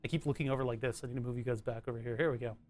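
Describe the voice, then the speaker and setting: A man streaming from his home setup, chatting casually in a rambling, self-interrupting way with a bright, trebly voice. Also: mid-range voice, fairly even intonation, rapid-fire, faint music behind.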